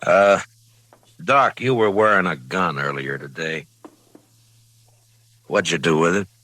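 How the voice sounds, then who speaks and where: American frontier marshal, steady and conscientious, deep, forceful tone, halting grave speech, 1950s radio western. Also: male voice, full character voice. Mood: matter-of-fact. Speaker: radio actor. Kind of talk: vintage radio western acting. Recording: vintage radio recording, dry studio sound.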